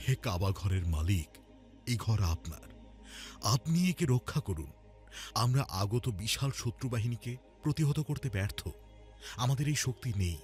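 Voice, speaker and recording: A man's voice: mid-range voice, lively intonation, measured pace, pauses often, darkish tone, soft background music, lightly acted. A man voicing a resolute, devout elderly chief in a cartoon story, with solemn, declamatory delivery. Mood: tearful pleading. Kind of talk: acted quote in cartoon storytelling.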